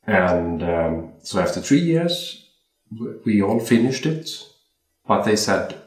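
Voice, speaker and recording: A young Swedish man who works as a watchmaker, chatting in a relaxed, hesitant way and often repeating words as he recalls his training and jobs. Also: lowish voice, takes it slowly. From Sweden. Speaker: watchmaker guest. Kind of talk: casual interview chat. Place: room in a home. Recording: roomy home interview.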